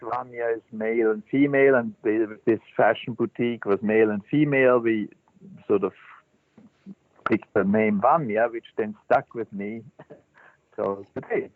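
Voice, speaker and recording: A man's voice: lowish voice, dry recording. Older man over a tinny line. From Switzerland. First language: German. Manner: halting, thoughtful, many restarts and fillers. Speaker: interview guest, Zen teacher. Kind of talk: casual life-story interview. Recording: dry, tinny phone-line sound.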